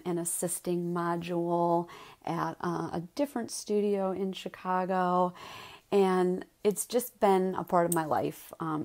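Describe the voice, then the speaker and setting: A woman's voice: on the low side, a little mellow. A woman in her thirties with a Wisconsin accent, a yoga teacher chatting casually about her path, with many ums and likes. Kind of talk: casual personal podcast monologue.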